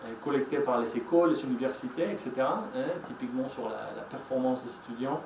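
A Spanish-accented man speaks at a conference lectern, pausing often on fillers and restarting his phrases. His voice is mid-pitched and sounds distant in an echoey hall. Steady room noise sits underneath. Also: creaky. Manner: hesitant, many fillers and restarts. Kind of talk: conference presentation. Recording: echoey room, mic from far off.

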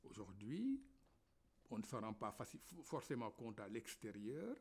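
Lively, halting man speaking. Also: fairly low pitch, husky. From Senegal. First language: Wolof. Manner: halting, many fillers and repeated words. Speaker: novelist and university lecturer. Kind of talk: reflective panel talk.

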